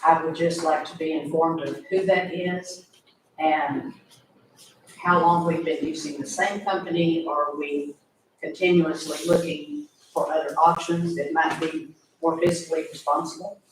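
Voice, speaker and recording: A fairly high-voiced man speaks politely and with care, pausing on fillers as he makes his comment to a county board. He is heard from well across a very echoey meeting room, with a faint hiss underneath. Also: steady tone, far from the mic. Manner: polite, measured, with frequent filler pauses. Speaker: resident speaking at public comment. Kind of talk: formal public comment.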